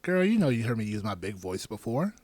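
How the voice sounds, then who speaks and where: A man in his thirties hosting a podcast, chatting casually with animated, joking asides. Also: fairly low pitch, brisk, slightly rough, no echo. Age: 30s. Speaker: podcast host.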